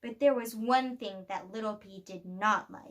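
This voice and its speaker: A woman reading a children's picture book aloud, telling the story expressively with deliberate pauses. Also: medium pitch. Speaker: storybook reader.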